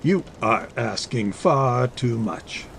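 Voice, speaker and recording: A man playing a scheming army captain in a dramatic audiobook, speaking formally with smooth menace. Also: mid-range voice, expressive, slow pace, fairly bright tone, slight background noise, full character voice. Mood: calculating, bargaining. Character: scheming, coercive male army captain, a suave villain. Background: ambience under the voice.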